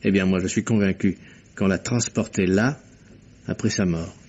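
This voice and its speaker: An actor playing a courteous, analytical gentleman detective in a vintage French radio mystery, with precise, theatrical delivery. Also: sits low, fairly even intonation, normal speed, breathy edge. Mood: animated, analytical. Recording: muffled old radio drama recording.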